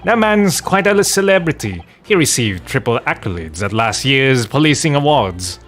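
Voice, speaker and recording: A man puts on an eccentric, self-assured detective from a video game. The delivery is theatrical and declamatory, swinging widely in pitch over background music. Every phrase gets a pompous flourish. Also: sing-song, normal speed, fairly bright tone. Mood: grandly confident. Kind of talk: theatrical read-aloud of video game dialogue.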